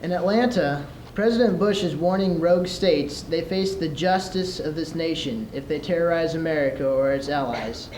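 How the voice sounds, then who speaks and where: A man's voice: on the high side, moderate pace, few pauses, darkish tone. A young man in his teens delivering scripted radio news as a student competitor. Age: teenager. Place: large room at a school event. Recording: echoey, noisy live room recording.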